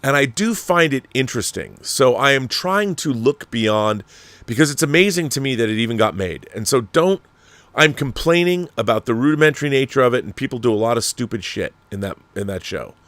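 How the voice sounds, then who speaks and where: A man's voice: medium pitch, lively intonation, a little fast, a bit bright, dry recording. A man hosting a commentary show, talking casually and opinionatedly in a rambling, emphatic way, repeating himself and swearing freely. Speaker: host of a film and TV commentary show. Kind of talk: casual opinionated commentary, answering viewer messages.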